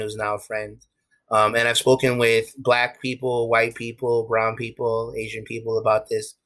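Emphatic New York man with bright tone. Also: medium pitch. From USA. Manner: frequent restarts and fillers, candid and emphatic. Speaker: guest. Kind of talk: casual interview talk.

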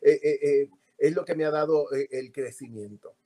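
A man from Puerto Rico speaks as a podcast guest, with a voice pitched a little high and a Puerto Rican Spanish accent. He tells his stories animatedly and fills his pauses with hesitant fillers. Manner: animated storytelling with many fillers. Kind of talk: casual interview.

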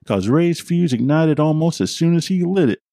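A man's voice: on the high side, animated, normal speed, gravelly, mellow, no room sound, clean studio sound, pitched above the performer's own voice. Man putting on a cackling crude old country man. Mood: gleeful.

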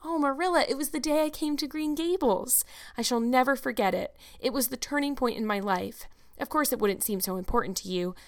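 An eager young girl, as a woman narrator puts her on in a storybook reading. The voice is high and effusive. She is earnest and imaginative, telling everything with dramatic feeling. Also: brisk, creaky, hardly any pauses. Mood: wistful, happy.

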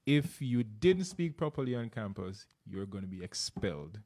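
Jamaican man, middle-aged, interview guest; low mellow voice, sincere and halting. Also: big pitch swings. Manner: earnest, repeats words while searching for them. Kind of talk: casual interview.

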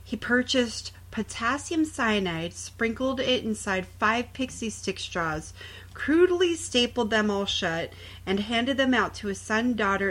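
A woman's voice: medium pitch, a bit bright. A woman co-hosting a podcast, casually reading out true crime stories with sardonic, sometimes profane asides. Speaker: podcast co-host.

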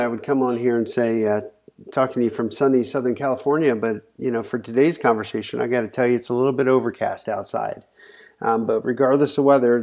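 A man's voice: mid-range voice, darkish tone. A man hosting a podcast, introducing his guest in a loose, casual way full of fillers. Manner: loose, filler-heavy, friendly setup. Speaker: podcast host.

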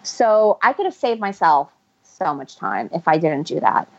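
Woman author guest from Ecuador, slightly low voice, rambling and self-correcting, dull lo-fi sound. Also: sing-song, a little slow. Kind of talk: casual interview chat. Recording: muffled lo-fi audio.

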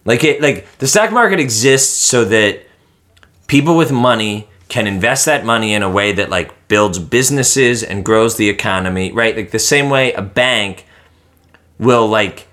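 A man's voice: fairly low pitch, animated, normal speed, a bit bright. A man in his late thirties in casual conversation, ranting and swearing freely, with lots of filler "like". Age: 30s. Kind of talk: casual chat.

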